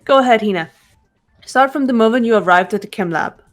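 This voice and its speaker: A woman voicing a calm, probing investigator. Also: a little high, brisk, faint music behind, lightly acted. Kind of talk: fan voice acting of a game.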